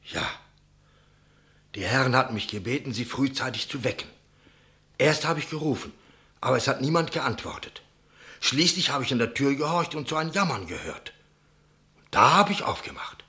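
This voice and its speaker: A man's voice: mid-range voice, very animated, normal speed, pauses often, pushed and bright. An actor playing an anxious, talkative innkeeper in a German radio play, telling his account dramatically and deferentially. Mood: shaken, recounting. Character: anxious, talkative male innkeeper giving testimony.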